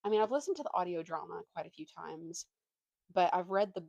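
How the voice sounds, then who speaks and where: A woman's voice: fairly low pitch, brisk, gravelly, no echo, dull audio. A young woman chatting casually as a book vlogger, opinionated and slangy, poking fun at herself. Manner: animated slangy delivery, opinionated, self-deprecating.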